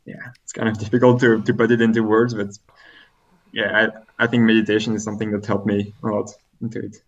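A man's voice: on the low side, little pitch movement, fast, dull audio. A young Belgian man, a native French speaker talking English with a French accent, answering interview questions hesitantly with many fillers. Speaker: triathlete guest.

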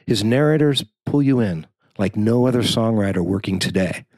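A man's voice: lowish voice, lively intonation, moderate pace, a little mellow, no room sound, studio quality. A man reading a written magazine-style profile aloud as a journalist narrator.

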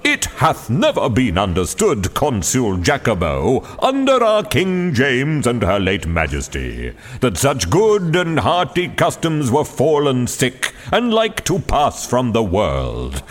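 A man's voice: mid-range voice, huge pitch swings, takes it slowly, hardly any pauses, voice pushed up, full character voice. A man voicing a burly, obstinate, humorous Jacobean playwright who speaks in hearty, archaic phrasing. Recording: long hall reverb.

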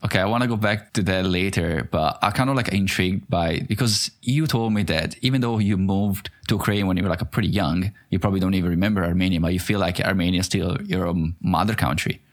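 A man's voice: low voice, fast, few pauses. A man hosting a podcast, reading a scripted intro and then asking curious, self-deprecating questions in casual conversation. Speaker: podcast host.

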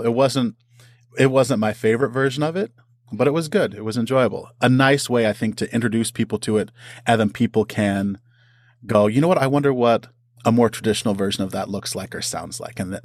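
A man's voice: lowish voice, a little mellow, no echo, clean studio sound. A man talking casually as an interview guest and writer, with a self-deprecating, good-humoured way of speaking. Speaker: audio drama writer.

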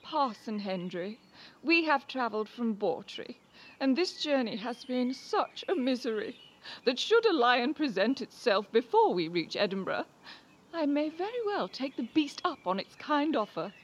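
A woman's voice: a little high, very animated, a little slow. An actress playing a prim, haughty married lady traveller of the Georgian era, complaining formally and disdainfully in an audio drama. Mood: long-suffering. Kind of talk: period audio drama acting.

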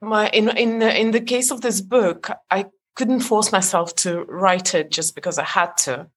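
A woman's voice: medium pitch, a little slow, breathy edge. A woman in her forties from Estonia, a guest author talking in a casual interview, hesitant with many fillers and restarts.

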